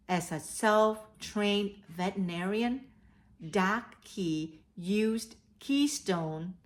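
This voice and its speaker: A woman hosting a read-aloud for children, telling stories and giving advice in a deliberate way. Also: mid-range voice. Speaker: host of a children's read-aloud storytelling show. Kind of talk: storytelling and advice addressed to children.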